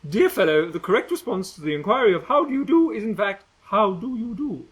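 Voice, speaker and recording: A man's voice: high-pitched, big pitch swings, brisk, voice pushed up. A man voicing a bossy, sneering schoolgirl bully who speaks in a prim, over-polite way.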